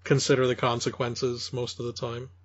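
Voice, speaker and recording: A man's voice: mid-range voice, monotone, normal speed. A man co-hosting a podcast, chatting casually in an agreeable, hesitant way. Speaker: podcast co-host. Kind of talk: casual chat. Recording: dull, lo-fi audio.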